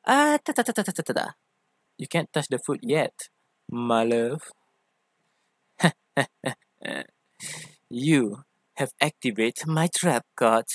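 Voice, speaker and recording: A playful young femboy boyfriend acted by a man in an ASMR roleplay, in a dry, close recording. He is affectionate and theatrical, teasing and coaxing his partner in a medium-pitched voice. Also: big pitch swings, slow pace, long pauses, dry recording.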